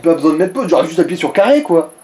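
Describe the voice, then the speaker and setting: A man's voice: fairly high pitch, big pitch swings, fast talker, darkish tone, faint music behind. A man giving informal, sweary gaming commentary in speech, coaching the player along. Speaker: let's play co-commentator.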